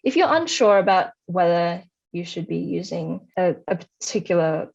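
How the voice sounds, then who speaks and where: Young female interviewee, lowish voice, explaining study tips conversationally; slightly dull recording. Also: lively intonation, normal speed, creaky, darkish tone. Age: young adult. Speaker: interviewee, high-achieving former student.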